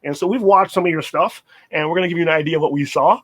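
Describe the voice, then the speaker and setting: A man's voice: fairly high pitch, racing. A middle-aged man co-hosting, giving conversational advice and repeating phrases for emphasis. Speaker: co-host, basketball mentor.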